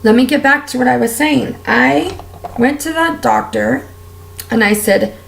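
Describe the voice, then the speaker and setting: A woman with a raspy, strained voice, talking candidly and at length while doing her makeup for a vlog. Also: on the high side, a little slow, reverberant, slight background noise. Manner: candid, heartfelt, rambling with fillers. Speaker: beauty vlogger. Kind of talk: casual personal rant while doing makeup.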